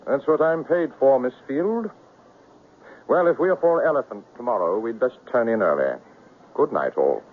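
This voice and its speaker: An actor playing a coolly arrogant, unflappable big-game hunter in a 1970s radio drama, with a clipped, nonchalant manner. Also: male voice, mid-range voice, lively intonation, normal speed, natural voice. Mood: breezy reassurance. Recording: tinny, noisy 1970s radio recording.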